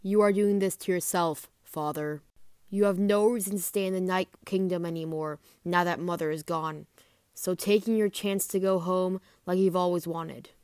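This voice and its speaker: A woman's voice: on the low side, fairly even intonation, normal speed, a little mellow, clean tone, no echo, close to an everyday voice. A cold young male dragon, voiced by a woman, speaks in stern accusation. The sound is dry, like an amateur fantasy audio drama. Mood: cold, accusing.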